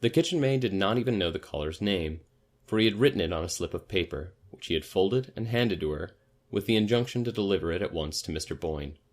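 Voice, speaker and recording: Low-pitched man reading a literary story. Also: lively intonation, fairly quick. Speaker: narrator, story reader. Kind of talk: literary audiobook narration.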